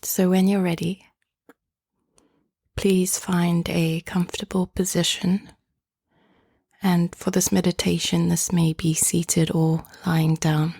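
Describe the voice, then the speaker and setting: A woman guides a meditation in a hushed voice that keeps slipping into a half-whisper. Her tone is mellow and low-set, and it stays very even in pitch. She speaks slowly and gently, inviting the listener along. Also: gravelly, husky, no room sound, studio quality. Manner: soft, hushed, often half-whispered delivery; gentle, inviting, unhurried phrasing.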